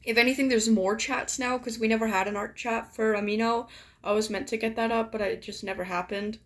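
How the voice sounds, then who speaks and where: A young woman streaming and chatting casually with viewers, her voice a little raspy and deeper from just waking up, talking in a rambling way full of "like". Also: steady tone, fairly quick. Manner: chatty, filler-heavy, many "like"s. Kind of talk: casual live-stream chat.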